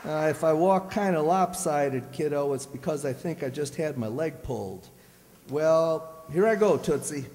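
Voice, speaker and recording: An actor playing a grumbling, blustery, wisecracking husband with folksy, slangy exasperation in an old-time radio comedy. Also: male voice, a little high, lively intonation, measured pace, darkish tone, a little hiss or hum. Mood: wry. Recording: echoey hall stage recording.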